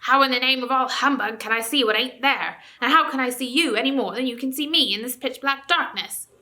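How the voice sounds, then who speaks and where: Storybook dwarf, rude and shouty, a woman doing the voice; keep it bright and on the high side. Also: brisk. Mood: indignant. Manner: loud, gruff and quarrelsome. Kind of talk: audiobook character reading.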